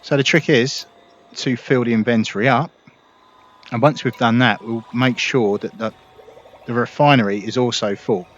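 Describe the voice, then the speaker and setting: A man calmly explaining what he is doing as he plays, thinking aloud in a casual gameplay walkthrough. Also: medium pitch, moderate pace, dull audio. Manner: calm, explanatory, thinking aloud while playing. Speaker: gameplay video host. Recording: voice over loud game engine noise. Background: loud spaceship game sounds.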